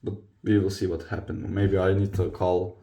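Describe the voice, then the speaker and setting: Low, creaky young man with Croatian accent. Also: level tone, normal speed, mellow. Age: young adult. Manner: hesitant, searching for English words. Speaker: vlogger, football player. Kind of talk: casual confessional chat to camera.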